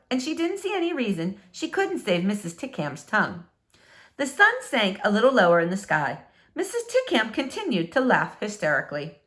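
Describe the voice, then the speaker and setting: A woman reading a children's book aloud in an expressive, dramatic storytelling manner, with deliberate, emphatic phrasing. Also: on the high side. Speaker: storybook reader.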